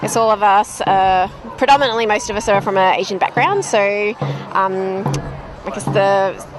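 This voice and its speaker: A woman's voice: medium pitch, moderate pace. A woman from Melbourne answering interview questions tentatively, often hedging. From Melbourne, Australia. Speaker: interviewee, dragon boat paddler. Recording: outdoor interview with traffic noise.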